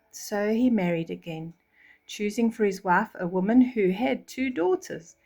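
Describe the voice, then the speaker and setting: An older woman reading a children's storybook, pausing to explain things simply as a bedtime storyteller. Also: medium pitch, darkish tone.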